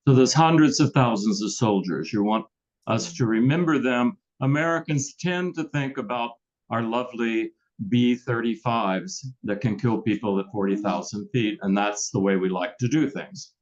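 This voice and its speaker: A man's voice: mid-range voice, normal speed. Male moderator, earnest and explanatory, muffled lo-fi audio. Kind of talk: moderating a club talk.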